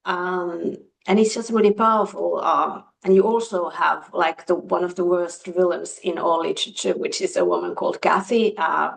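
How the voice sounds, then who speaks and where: A woman whose first language is Finnish speaks as a guest in a relaxed book chat. Her voice sits fairly low with a gravelly fry, and she talks eagerly, filling the gaps with ums and uhs. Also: normal speed, few pauses, low-quality recording. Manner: enthusiastic, with many fillers. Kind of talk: casual book discussion.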